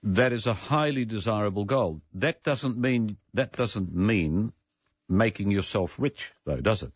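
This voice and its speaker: A man hosting a radio talk show, interviewing in a probing, conversational way and deliberately repeating phrases to press his point. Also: lowish voice, lively intonation, breathy edge, dry recording. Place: radio studio. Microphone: close studio microphone. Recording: slightly dull radio studio audio.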